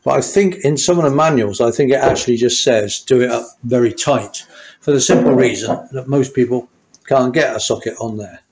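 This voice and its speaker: A man's voice: medium pitch, brisk, darkish tone. A middle-aged or older man, talking casually and wryly as he demonstrates mechanical work in a small workshop. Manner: chatty, self-deprecating, wry asides. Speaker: motorcycle mechanic and host. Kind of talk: casual hands-on workshop tutorial. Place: small indoor workshop at a workbench.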